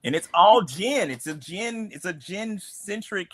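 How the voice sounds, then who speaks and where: Bright-voiced man, halting and thoughtful. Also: high voice, animated, dry recording. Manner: halting, many ums and restarts. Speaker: comic creator and show host. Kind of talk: casual livestream chat.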